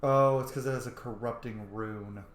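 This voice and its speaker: A man's voice: mid-range voice, steady tone, moderate pace. A man giving casual commentary as he plays a game, muttering to himself in short fragments. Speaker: gamer narrating a roleplay playthrough. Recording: echoey room, faint background noise.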